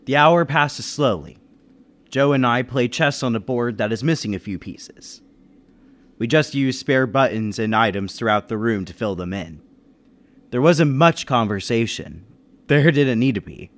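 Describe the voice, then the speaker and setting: A man's voice: medium pitch, lively intonation, unhurried, fairly bright tone. A man playing a reserved businessman and war veteran who narrates a 1930s-style radio mystery in a guarded, matter-of-fact way. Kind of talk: 1930s radio drama acting with first-person narration. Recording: music bed under the voice.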